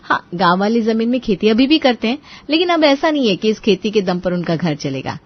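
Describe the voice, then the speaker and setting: A woman's voice: on the high side, very animated, fairly quick, muffled recording. A woman narrator reading a scripted moral tale in storytelling style.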